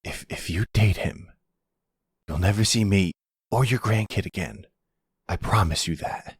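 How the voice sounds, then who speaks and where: A man's voice: lowish voice, a little slow, husky, dry recording, studio quality, full character voice. A man playing a hot-headed, panicky young adult son in a mafia audio roleplay, whiny, defensive and prone to stammering. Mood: disgusted, pleading. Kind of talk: ASMR audio roleplay acting.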